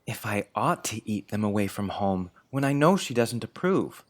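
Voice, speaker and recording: A woman's voice: rumbling low, big pitch swings, normal speed. A woman playing a polite, thoughtful young boy in an audiobook drama, careful, frank and earnest. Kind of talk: scripted audiobook drama acting.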